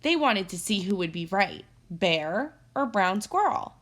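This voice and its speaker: A woman teacher reading a story aloud for children, expressive and instructive, pausing to pose guiding questions. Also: medium pitch. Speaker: elementary teacher.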